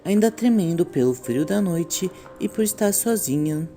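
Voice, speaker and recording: Brazilian female host, theatrical storyteller, slightly low and very animated, for scripted horror commentary. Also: unhurried, over music. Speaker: YouTube host.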